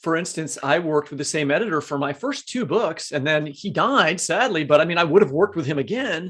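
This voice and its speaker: A man's voice: a little high, expressive, fairly quick, a bit bright, husky. A man co-hosting a podcast, asking questions in a casual, conversational way. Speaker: podcast co-host, writer.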